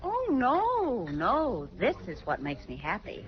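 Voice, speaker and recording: A woman's voice: a little high, wildly swooping pitch, slow, exaggerated voice. A woman playing a playful, coy young sweetheart who teases, in a 1940s radio drama. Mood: bright apology. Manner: coy, teasing. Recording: muffled 1940s radio recording.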